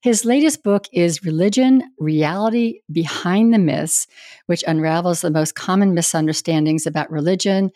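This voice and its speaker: A woman's voice: lowish voice, moderate pace, mellow, dry recording, clean studio sound. A woman who is a reverend, hosting a spiritual podcast and interviewing a guest in an earnest, conversational way. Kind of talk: scripted intro then casual interview. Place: home in Wisconsin, USA.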